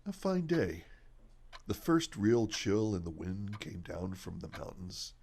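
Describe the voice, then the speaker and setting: A man's voice: sits low, sing-song, slow, gravelly, a little mellow, breathy edge, exaggerated voice. A man playing a superstitious, teasing farmer father in a horror radio drama, with old-fashioned rustic phrasing. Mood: folksy, musing.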